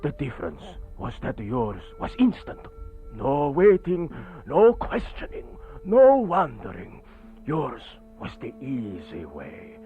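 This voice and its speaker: An actor playing a grave, resigned priest turned vampire, speaking in measured, theatrical radio horror style. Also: male voice, mid-range voice, wildly swooping pitch. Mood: resigned, rueful. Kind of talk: radio horror play acting. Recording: thin phone-like band-limited audio with music.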